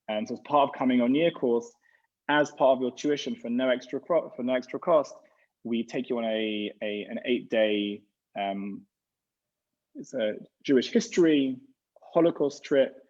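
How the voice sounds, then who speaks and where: Rabbi, mid-pitched man, rambly casual answers on a lo-fi video call. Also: darkish tone. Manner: rambling, restarts often. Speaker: rabbi representing a gap year program. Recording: dull lo-fi sound.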